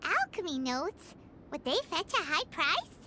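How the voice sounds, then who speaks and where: A woman voicing a tiny, excitable fairy companion girl who talks about herself in the third person, eager and pushy, in a video game cutscene. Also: extremely high pitch, a little slow, noisy background, heavily acted. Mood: anxious. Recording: game cutscene over loud background music.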